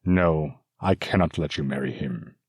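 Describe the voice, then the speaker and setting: A man's voice: extremely low pitch, unhurried, darkish tone, slightly rough, no echo, heavily acted. A man doing a stern bearded nobleman father. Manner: firm, commanding.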